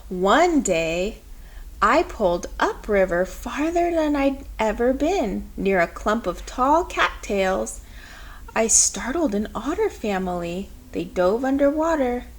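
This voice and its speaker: A woman reading a children's picture book aloud in a measured, expressive storytelling manner. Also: on the high side, poor audio.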